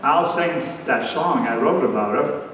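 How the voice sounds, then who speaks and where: A man's voice: medium pitch, animated, unhurried, fairly bright tone. A man playing a hapless, nervous husband in an old-time radio comedy, with a theatrical delivery on a theater stage. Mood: alarmed, exasperated. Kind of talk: 1940s radio comedy acting. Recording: echoey hall from far off, noisy.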